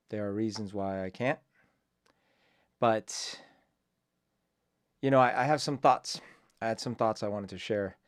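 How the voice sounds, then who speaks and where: A man's voice: on the low side, smooth. Colombia-born man musing in a casual commentary. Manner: reflective, rambling, many fillers and restarts. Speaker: host.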